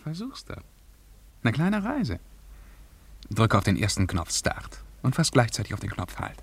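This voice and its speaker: An actor in a radio drama playing a treacherous old scholar with a husky voice and a coaxing, insinuating manner. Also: male voice, mid-range voice, wildly swooping pitch, a little slow, no room sound, exaggerated voice. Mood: sly, coaxing. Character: sly old scholar, treacherous and ingratiating tempter.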